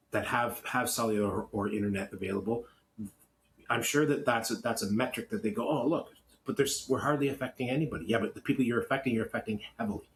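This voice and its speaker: A Canadian man co-hosting a podcast in casual, opinionated chat, blunt and grumbling, often halting and repeating words. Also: lowish voice, low-quality recording. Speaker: podcast co-host. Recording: clear background noise.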